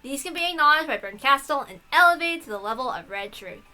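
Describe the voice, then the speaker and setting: Girl reading a visual novel out loud, really high bright voice, playful comments thrown in. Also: lively intonation, fast talker. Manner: playful, giggly, swearing asides. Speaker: host of a game read-aloud playthrough. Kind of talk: reading game text aloud with casual commentary.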